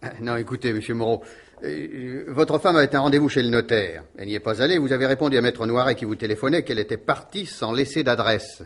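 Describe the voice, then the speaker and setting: An actor in a French radio mystery play, playing a courteous, hesitant, well-meaning village gendarme who gets easily flustered. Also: male voice, medium pitch, fast talker, natural voice. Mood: flustered, concerned.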